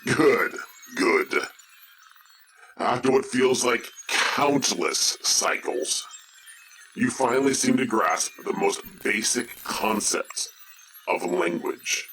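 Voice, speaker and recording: A man playing a haughty alien villain count in an audio drama, gravelly, disdainful and menacing. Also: medium pitch, animated, slow, sharp tone, a little hiss or hum, exaggerated voice, poor audio. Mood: cold contempt.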